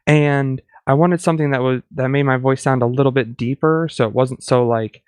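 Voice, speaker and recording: A man's voice: mid-range voice, steady tone, normal speed, smooth, dry recording, studio quality. A man co-hosting a podcast in casual tech chat, talking in a chatty, self-deprecating way. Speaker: podcast co-host.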